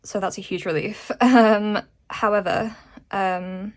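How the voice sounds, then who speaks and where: A woman's voice: medium pitch, fairly even intonation, takes it slowly. A British woman chatting casually in a vlog update, rambling and self-deprecating in an informal way. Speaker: vlogger and small shop owner.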